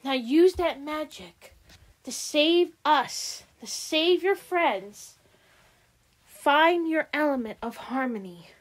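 A woman voicing solemn royal pony princesses who speak in a hushed, half-whispered way in a fan roleplay. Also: high voice, lively intonation, takes it slowly, voice pushed up. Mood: urging.

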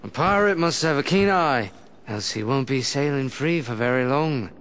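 An actor voicing a sly, swaggering pirate captain for a video game, with a slurred, wry drawl. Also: male voice, medium pitch, expressive, moderate pace, fairly bright tone, clean tone, lightly acted. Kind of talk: video game cutscene acting. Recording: game music underneath.

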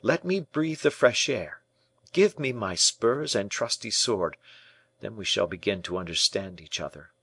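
A man's voice: fairly low pitch, lively intonation, measured pace, a bit bright, breathy edge, no echo, heavily acted. A man reading a storybook part as a young imprisoned prince, proud, embittered and hot-blooded, in formal archaic speech. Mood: impassioned reproach. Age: young adult. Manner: formal archaic speech, rhetorical questions, impassioned.

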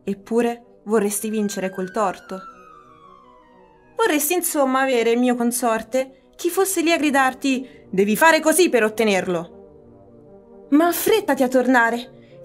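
An actress playing an ambitious, ruthless noblewoman in a Shakespearean radio drama, solemn and declamatory. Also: sits high.

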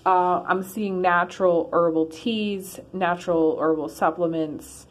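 A woman's voice: fairly low pitch, fairly even intonation, a little slow. A woman giving a casual one-card tarot reading to her followers, rambling with many ums and restarts. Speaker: tarot reader. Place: in her car.

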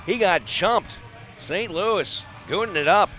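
A man's voice: high voice, slow. Male hockey play-by-play man over rink noise. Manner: excitable on big plays, clipped phrases. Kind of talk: live radio-style ice hockey play-by-play commentary. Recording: live arena broadcast with background noise.

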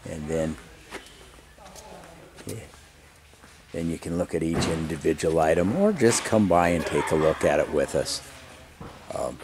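A man giving a casual, unscripted walk-around narration as an auctioneer promoting a sale. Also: sits low. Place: large warehouse showroom, later outdoor lot. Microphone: handheld camera mic, operator behind camera. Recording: camcorder audio with faint background noise.

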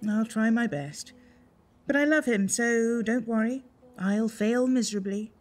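An actress playing a bossy, wry postmistress and wife in a radio soap, brisk and exasperated. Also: female voice, a little high, animated, a little mellow. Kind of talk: radio soap opera acting.

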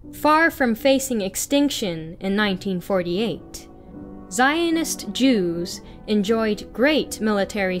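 Slow-reading young woman over music. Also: medium pitch. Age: young adult. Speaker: narrator reading an article aloud. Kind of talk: scripted read-aloud narration.

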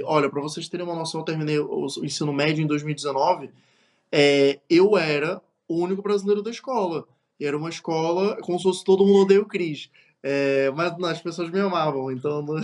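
A man's voice: a little high, darkish tone. A young Brazilian man who has picked up some European Portuguese words. He talks casually as a podcast guest, often repeating words and restarting. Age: young adult. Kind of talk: casual interview conversation.

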